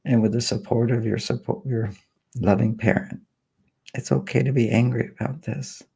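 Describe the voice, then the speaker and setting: Guy doing a slow soothing meditation, low-key husky voice, kinda flat and steady. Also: rough recording. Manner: slow, soft, soothing delivery with long pauses. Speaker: meditation guide. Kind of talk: guided healing meditation.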